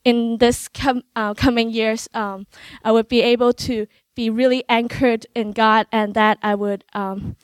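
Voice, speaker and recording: A young woman shares her student testimony, pausing often and filling gaps with soft "um"s. Her voice is smooth and resonant, sitting a little high. The sound is dry and close. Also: level tone, no room sound. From Hong Kong. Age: young adult. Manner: hesitant, many filler sounds, light laughs. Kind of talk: personal testimony on stage.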